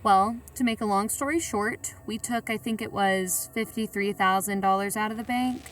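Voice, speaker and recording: Actress as female bank robber, audio drama, wary, matter-of-fact, slangy, medium pitch, slightly distant mic. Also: moderate pace, a bit bright, a little hiss or hum, natural voice. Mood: matter-of-fact, confiding. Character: Rose, wary female bank robber recounting her heist. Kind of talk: audio drama acting and first-person narration.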